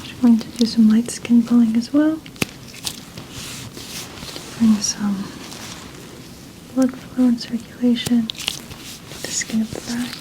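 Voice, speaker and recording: A woman whispering softly and slowly in a soothing ASMR medical roleplay, as a chiropractor tending a client. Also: on the high side, steady tone, noisy background. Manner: soft, slow, soothing whispering. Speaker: ASMR performer playing a chiropractor.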